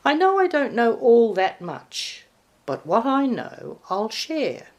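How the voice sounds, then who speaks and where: A woman voicing an earnest, warm-hearted Santa in a storybook poem, hesitant and a little awkward. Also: fairly high pitch, sing-song, slow, pitched above the performer's own voice.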